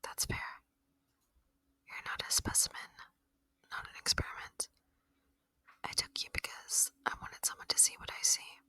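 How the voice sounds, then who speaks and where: A gentle, lonely alien, played by a woman, whispers right up close in a bright, airy hush. The delivery is slow, soothing and reflective, full of quiet curiosity about humans. It is an intimate ASMR roleplay, recorded very dry. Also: dry recording, poor audio. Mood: wistful. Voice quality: breathy whisper. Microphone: close, dry microphone.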